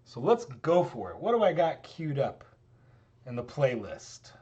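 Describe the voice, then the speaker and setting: A man's voice: medium pitch, sing-song, unhurried, darkish tone, slightly rough, muffled recording. A middle-aged man teaching a chemistry lab tutorial in a casual, rambling way, his voice worn out. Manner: casual, rambling, self-deprecating. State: voice getting worn out. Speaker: chemistry professor. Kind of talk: screen-recorded lab tutorial.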